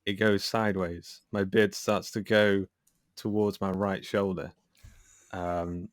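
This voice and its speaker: A man with a fairly low voice speaks as a podcast guest. His talk is casual and joking, recorded close and dry with no room sound. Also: level tone, slow, no echo. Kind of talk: casual jokey chat.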